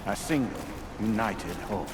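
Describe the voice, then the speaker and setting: A man playing a coldly serene artificial overseer in a video game, speaking in a formal, measured, pronouncing manner. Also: fairly low pitch, expressive, close to an everyday voice. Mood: calm, imperious. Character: coldly serene artificial overseer intelligence promising humanity eternal existence. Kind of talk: cinematic video game acting.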